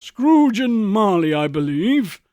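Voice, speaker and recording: A man voicing a portly, good-hearted old gentleman in a storybook reading, formal in manner and halting with amazement. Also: sits high, very animated, no room sound, pitched above the performer's own voice, exaggerated voice. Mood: formal, hesitant.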